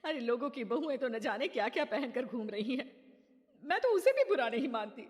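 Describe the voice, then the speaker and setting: A woman voicing a gentle kindly mother-in-law. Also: a little high, sing-song, a little fast, in a large hall. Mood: worried. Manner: gentle, reassuring. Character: kind understanding middle-aged mother-in-law.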